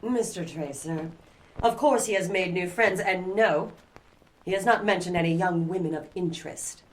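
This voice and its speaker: Actress as wealthy society matron, middle-aged, haughty, proper, condescending, audio drama. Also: female voice, on the low side, moderate pace, close to an everyday voice, rough recording. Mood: proud, dismissive. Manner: genteel, formal, condescending.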